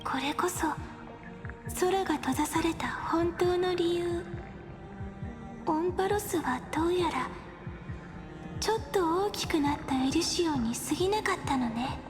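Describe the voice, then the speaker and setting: An actress voicing a gentle, wistful young woman in an anime game, speaking softly and breathily in measured phrases. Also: very high voice. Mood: sorrowful. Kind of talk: Japanese anime game voice acting. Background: game music.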